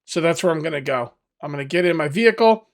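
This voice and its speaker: Hoarse, rambling gameplay commentator man. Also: a little high, expressive, fast. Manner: rambling, self-correcting, talks to viewers. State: losing his voice. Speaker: gaming video host. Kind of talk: casual let's-play commentary.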